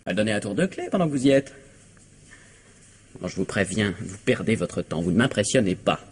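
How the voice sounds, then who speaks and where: A man's voice: fairly low pitch, big pitch swings, a little fast, breathy edge. An actor playing a cynical, nervy rich man's son in his twenties, mocking and defiant, in a French mystery radio drama. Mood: insolent, defiant. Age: 20s. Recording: noisy vintage radio drama recording.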